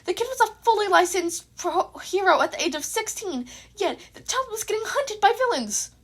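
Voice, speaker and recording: A young adult woman narrating her own fan fiction and chatting casually, with an informal, expressive manner. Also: extremely high pitch, normal speed. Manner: informal, sweary.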